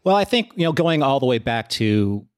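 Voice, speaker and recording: Measured, analytical man. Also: mid-range voice, sing-song. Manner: measured, analytical, builds long arguments. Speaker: podcast co-host. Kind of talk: casual discussion.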